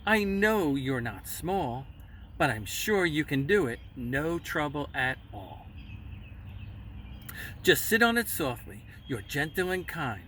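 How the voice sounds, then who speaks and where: A man's voice: a little high, big pitch swings, takes it slowly, fairly bright tone, a little hiss or hum, heavily acted. A man voicing a lazy, selfish female storybook bird who coaxes in a sing-song manner. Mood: coaxing.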